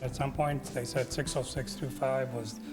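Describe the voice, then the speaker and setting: A man's voice: mid-range voice, level tone, normal speed. A man from Pakistan, a community center leader, answering interview questions in an earnest, reflective way. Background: music.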